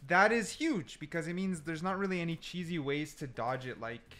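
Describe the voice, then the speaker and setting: A man's voice: on the high side, moderate pace, pushed and bright, a little hiss or hum. A man giving casual gameplay commentary, explaining things and repeating points for emphasis. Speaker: gaming video creator.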